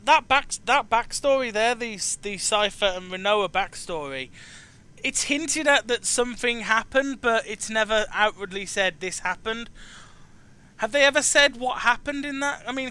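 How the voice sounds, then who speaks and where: High, bright-voiced man, halting gamer chat. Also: smooth. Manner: halting, repeats words as he searches for phrasing. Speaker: chat show host. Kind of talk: casual chat about video games.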